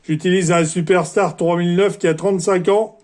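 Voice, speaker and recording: A man's voice: high voice, fairly even intonation, a little fast, a little mellow. A man speaks as a CB radio operator, courteous and orderly, in the set phrases of a radio contact. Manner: polite, orderly, formulaic sign-offs.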